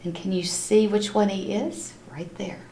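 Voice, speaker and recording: A woman reading a picture book aloud to children in an expressive, animated storytelling style, stopping to address her listeners. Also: mid-range voice, a little hiss or hum. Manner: animated, acts out characters' lines, pauses to ask listeners questions. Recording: roomy, echoing room sound.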